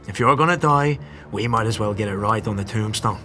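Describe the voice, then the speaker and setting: An actor playing a gruff, sardonic scavenger gang boss who taunts and threatens in a fantasy audio drama. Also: male voice, on the low side, a little fast, sharp tone, soft background music. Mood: menacing, mocking. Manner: foul-mouthed, taunting, threatening.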